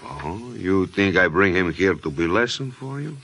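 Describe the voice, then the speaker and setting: An actor playing a calm, mocking Italian gang boss in broken English, in 1940s radio style. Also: male voice, deep voice, normal speed, heavily acted. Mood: calm menace. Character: menacing, smooth-talking Italian immigrant gang boss.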